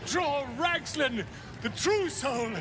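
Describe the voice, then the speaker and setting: An actor voicing a captive bard who sings theatrically and stammers nervously. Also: male voice, expressive, slow, over music, exaggerated voice. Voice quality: high, theatrical sing-song delivery with nervous stammering. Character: captive bard forced to sing flattery, flustered and theatrical.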